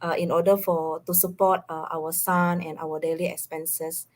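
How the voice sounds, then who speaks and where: A middle-aged woman from Indonesia with an Indonesian accent, speaking haltingly as a guest in a casual live online talk. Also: fairly low pitch, little pitch movement.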